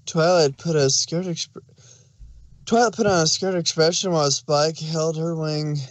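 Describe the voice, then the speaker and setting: A man's voice: on the high side, fairly even intonation, measured pace, no echo. A man narrating a story aloud as a scripted fan fiction reading.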